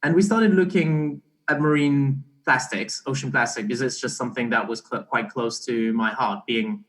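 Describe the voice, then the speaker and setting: Young guy getting interviewed, chatty but halting, restarts his sentences, normal pitch. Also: fairly bright tone, low-quality recording. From Belgian-born, partly raised in Barbados. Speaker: interview guest, startup co-founder. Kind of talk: casual explanatory interview.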